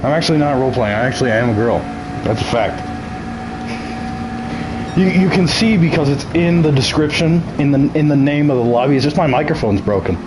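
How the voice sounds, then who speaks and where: A man's voice: on the high side, fairly quick, noisy background. A young man chatting casually as a game streamer, nasal and ill, joking, laughing often and tripping over his words.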